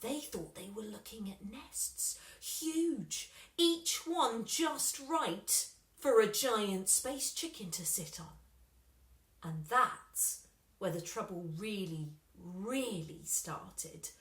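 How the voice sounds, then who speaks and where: A woman reading a children's story expressively, with dramatic pauses and emphasis. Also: medium pitch, expressive, fairly bright tone. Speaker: story reader. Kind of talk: scripted children's story narration. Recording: roomy, echoing room sound.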